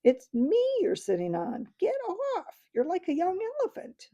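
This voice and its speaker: A woman's voice: high voice, huge pitch swings, a little slow, dark tone, muffled recording, voice pushed up, heavily acted. A woman voicing an irritable male dwarf who bellows his complaints. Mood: angry. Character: gruff, angry male dwarf.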